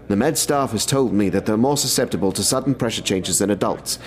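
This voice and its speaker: An actor playing a brisk male military major who briefs in a businesslike way. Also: fairly low pitch, lightly acted. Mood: serious.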